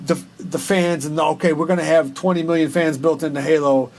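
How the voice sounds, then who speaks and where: A man's voice: on the high side, fairly even intonation, a little fast, a little mellow. A man hosting a casual, opinionated discussion and swearing now and then. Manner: profane. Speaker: talk show host. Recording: clear background noise.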